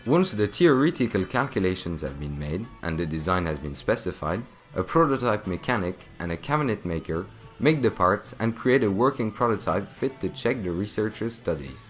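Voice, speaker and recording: A man's voice: lowish voice, big pitch swings, moderate pace, few pauses, faint music behind. A man reading scripted narration as the narrator of a corporate video.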